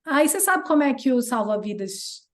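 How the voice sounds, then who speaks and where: A Brazilian woman in her thirties, speaking with a Brazilian Portuguese accent and a voice pitched a little higher than most. She chats as an interview guest, telling her stories with lively, playful leaps in pitch. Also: normal speed. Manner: chatty and animated, playful jumps in pitch when retelling. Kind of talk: casual storytelling chat.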